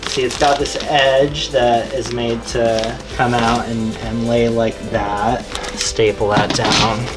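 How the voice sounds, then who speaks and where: A man with a medium-pitched voice hosts a hands-on DIY tutorial. He talks informally and in an easygoing way, as if explaining to a friend. Music plays clearly behind his voice. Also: little pitch movement, slow. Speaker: host of a DIY build tutorial. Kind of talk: casual hands-on tutorial talk.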